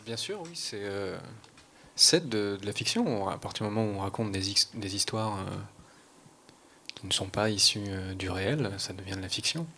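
A man's voice: on the low side, normal speed, pushed and bright, slight background noise. A man answering as a guest in a panel discussion.

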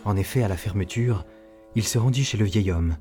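An actor playing the narrator of a tall tale, with measured storybook telling in a radio fiction. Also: male voice, on the low side, lightly acted. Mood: measured storytelling. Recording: loud guitar music behind.

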